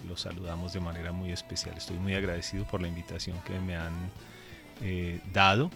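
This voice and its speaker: A man's voice: deep voice, level tone, a little fast, creaky, music in the background. A man who is a pastor, raised in Colombia, telling a story earnestly and measuredly in a radio interview.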